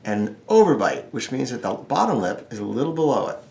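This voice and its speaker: A man's voice: mid-range voice, big pitch swings, a little fast, gravelly, a little mellow. A man teaching a casual step-by-step drawing tutorial, with wry, joking asides. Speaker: drawing instructor.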